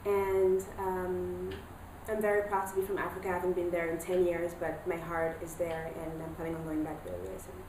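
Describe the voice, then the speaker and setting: Woman, from congo, fast talker, echoey room, noisy background. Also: on the low side, flat delivery. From Democratic Republic of Congo.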